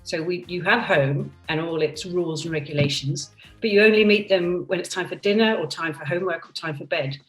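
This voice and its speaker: A woman's voice: lowish voice, fairly quick. A woman in her fifties from Denmark and England, speaking candidly and thoughtfully in an interview with frequent restarts, over a computer video call from her seaside home. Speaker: product designer interviewed. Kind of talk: reflective conversational interview. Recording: roomy, distant from the mic, soft music behind.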